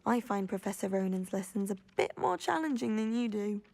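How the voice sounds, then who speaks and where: A woman's voice: medium pitch, animated, brisk, gravelly, a little mellow. An actress voicing a friendly, forthright teenage schoolgirl in a video game. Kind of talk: video game dialogue acting.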